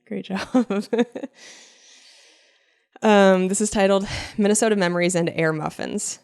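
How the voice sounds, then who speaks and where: A woman comedian co-hosting a podcast in casual chat, with a low voice and a talky style full of "like" fillers. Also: a little slow, darkish tone, clear, resonant tone. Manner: chatty, many "like" fillers.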